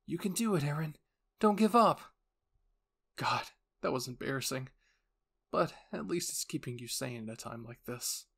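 Young soldier's inner monologue for anime fiction male reader, earnest, anxious, defiant, mid pitch. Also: expressive, normal speed, gravelly, a bit bright, husky, heavily acted. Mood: hopeful, embarrassed.

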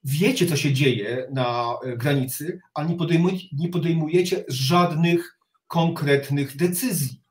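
A middle-aged man speaks as an interview guest over a video call. His voice sits a little high, and he talks haltingly, repeating words as he delivers blunt opinions. The room echoes around him as he sits back from the webcam. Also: a little mellow. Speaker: interview guest, reserve army officer. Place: home study with bookshelves, indoors. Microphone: video call, sitting back from the webcam. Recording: roomy, echoing video call.

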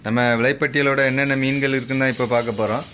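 A man with a Kanyakumari Tamil accent, a fish shop owner running through the day's catch in a brisk, repetitive vendor's listing, filmed on a handheld phone at an indoor market stall. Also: mid-range voice, fairly even intonation, a bit bright, a little hiss or hum. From Kanyakumari district, South India. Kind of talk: spoken product rundown for a shop channel.

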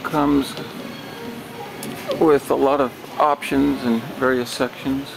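A man walking through a large warehouse with a handheld camera, describing a used trade show booth for sale in a plain, unhurried way with many pauses. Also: mid-range voice, flat delivery, vocal fry, over music. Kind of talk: walkthrough tour. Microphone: handheld camera held by the speaker. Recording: noisy warehouse camera audio.

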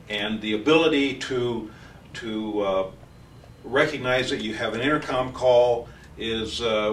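A man in his sixties giving a technical product demonstration as a trainer, speaking haltingly with many pauses, recorded from a distance in an office meeting room. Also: on the low side, fairly bright tone. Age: 60s. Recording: distant mic, echoey room, faint noise.

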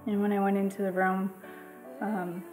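A woman in her thirties telling a personal story in a quiet, measured way, in a living room. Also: medium pitch, level tone, over music, low-quality recording. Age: 30s. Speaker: grieving sister. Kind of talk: personal testimony.

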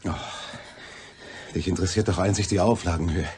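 An actor playing a wry, inquisitive, flirtatious reporter in a radio crime drama, casual and probing. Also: male voice, deep voice, steady tone, brisk, noisy background, close to an everyday voice.

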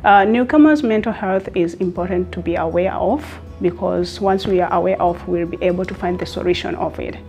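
Woman from Congo, earnest and deliberate, guitar music under. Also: lowish voice, dark tone.

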